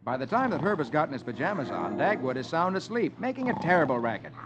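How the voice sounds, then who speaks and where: Animated high-pitched man reading the funnies. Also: fast. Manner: animated, theatrical. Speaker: host reading the funny pages. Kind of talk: 1950s children's radio storytelling. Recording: muffled 1950s radio recording, music behind.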